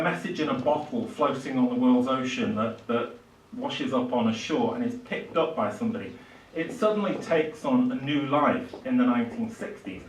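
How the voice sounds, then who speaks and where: Older man lecturing in an echoey room. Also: mid-range voice. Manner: hesitant, halting delivery with frequent pauses. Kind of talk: public lecture, lightly improvised. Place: small indoor lecture room. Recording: echoey room, distant microphone.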